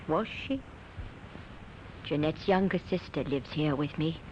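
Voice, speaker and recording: A woman's voice: low voice, a little slow. An actress playing a bitter middle-aged mother with a cold, lifeless, resentful manner in an old radio drama. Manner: flat, resentful. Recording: dull, noisy old radio recording.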